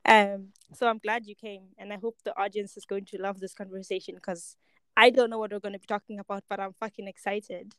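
A woman hosting a podcast in casual, excitable chat, with informal, slangy phrasing. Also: medium pitch, brisk, smooth, no echo, low-quality recording. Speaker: podcast host.

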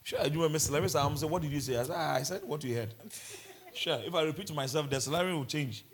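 A man's voice: a little high, fast, a little hiss or hum. A man from Ghana with a Ghanaian accent, preaching a sermon and mixing in humorous asides. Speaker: pastor.

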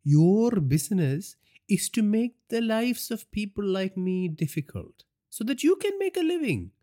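A man's voice: sits high, sing-song, measured pace, dark tone, voice pushed up. A man voicing a defiant male journalist in his late thirties.

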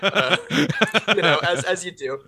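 A man's voice: high-pitched, animated, bright, forceful tone. A man co-hosting a casual film podcast, cracking crude jokes and laughing along. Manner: joking, crude, quick to laugh. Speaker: podcast co-host. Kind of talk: casual film review chat.

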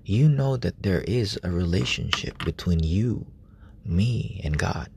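A man preaching softly at night in a relative's room, speaking in a hushed, halting way with many fillers. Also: sits low, lively intonation, vocal fry, a little hiss or hum. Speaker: lay preacher. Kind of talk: informal late-night sermon.

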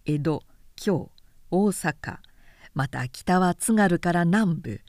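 A woman reading literary fiction aloud as an audiobook narrator, with measured and carefully enunciated delivery. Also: fairly low pitch, mellow, no echo, clean studio sound.

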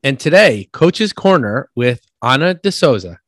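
A man hosts a podcast in an upbeat voice, giving energetic intros and asking curious questions. He is recorded close and dry, with no room sound. His pitch sits mid-range for a man. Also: measured pace, no echo. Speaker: podcast host. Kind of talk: casual interview chat.

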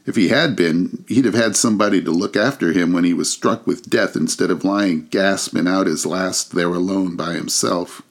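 A man voicing a bold, coarse charwoman in a storybook reading, rough-spoken and scornful. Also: sits low, normal speed, hardly any pauses.

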